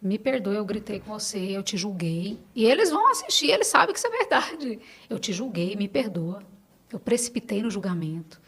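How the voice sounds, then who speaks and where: A woman's voice: mid-range voice, very animated, fairly quick, fairly bright tone, breathy edge. A middle-aged Brazilian woman speaking Portuguese as a podcast guest, in casual, earnest personal conversation, repeating phrases for emphasis.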